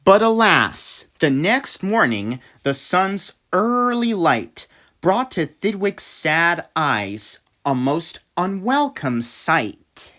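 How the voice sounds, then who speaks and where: A woman reading a rhyming children's storybook aloud in an expressive narrating style. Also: fairly low pitch, takes it slowly. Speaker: storybook reader.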